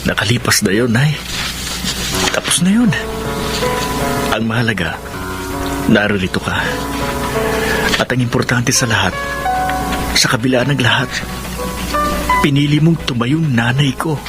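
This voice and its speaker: Guy playing sweet earnest filipino son, young, radio drama, mid voice, loud music under it. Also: medium pitch, expressive, unhurried, long pauses, lightly acted. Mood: tender, grateful. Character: gentle, grateful adult son on his wedding day.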